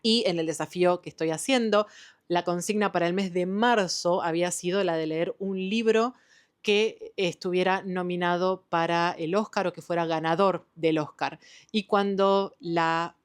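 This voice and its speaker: A woman's voice: on the low side, steady tone, normal speed, smooth. A woman with an Argentine Spanish accent, chatting enthusiastically about the books she has been reading, with frequent "eh" and "em" fillers. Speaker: book vlogger. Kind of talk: casual book wrap-up chat.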